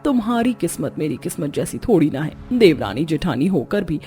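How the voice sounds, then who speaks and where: A woman's voice: low-pitched, sing-song, a little fast, dark tone. A woman voicing a boastful, condescending middle-aged mother-in-law in a cartoon story, with music behind. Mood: mocking pity. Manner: condescending, taunting. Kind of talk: Hindi cartoon story voice acting.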